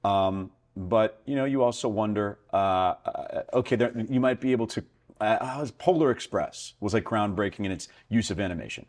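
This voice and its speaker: A man with a very deep, low voice hosts an interview. He thinks out loud as he talks, rambling and filling pauses with ums and restarts. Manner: rambling, thinks aloud with many fillers. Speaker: podcast host. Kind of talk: conversational interview.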